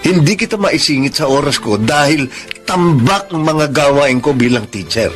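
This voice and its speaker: An actor with a Filipino accent playing a cold, workaholic middle-aged husband in a radio drama, curt and exasperated, with music underneath. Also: male voice, on the high side, lively intonation, normal speed, natural voice. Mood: defensive. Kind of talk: Philippine radio drama acting.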